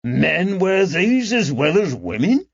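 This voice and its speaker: A man's voice: high voice, very animated, slow, creaky, dry recording, lo-fi sound, pitched above the performer's own voice, heavily acted. A man voicing a burly, blunt and touchy constable in an audiobook, indignant and blustering. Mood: disgusted. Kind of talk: audiobook character dialogue.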